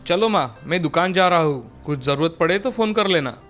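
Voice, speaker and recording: A man acts a kind-hearted young butcher in a story cartoon. His voice sits a little high and he speaks earnestly and politely, with soft music behind. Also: expressive, normal speed.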